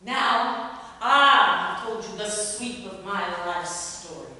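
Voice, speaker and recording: A woman acting a bitter, vengeful woman in a menacing, deliberate, theatrical monologue. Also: medium pitch, lively intonation, pushed and bright, full character voice. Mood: menacing, demanding. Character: bitter, vengeful woman confronting her betrayer before killing her. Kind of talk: dramatic stage monologue reading. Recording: echoey hall, distant mic, faint noise.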